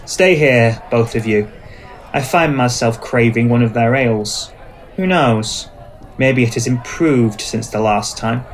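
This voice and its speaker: A man playing an ancient, suspicious male vampire in an ASMR roleplay, speaking in formal, measured, commanding phrases. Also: mid-range voice, lightly acted. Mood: stern, wary. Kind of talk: scripted ASMR roleplay acting.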